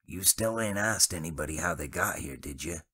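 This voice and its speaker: A folksy working man with a relaxed drawl, as a narrator puts him on. The voice sits somewhat above the reader's usual low tone and keeps a bright edge. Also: normal speed, slightly rough, full character voice. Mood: conspiratorial. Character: gruff self-assured working man.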